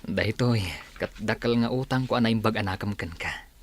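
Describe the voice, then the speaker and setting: A man's voice: lowish voice, normal speed, slight background noise, natural voice. A man playing a grateful, good-humored patient in a radio drama. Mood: grateful, joking. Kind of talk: Ilocano radio drama acting.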